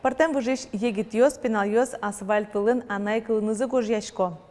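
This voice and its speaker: A woman reading the regional news as an anchor in a steady, scripted delivery. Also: fairly high pitch, a little fast. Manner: steady, even newsreader delivery. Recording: long reverb, faint noise.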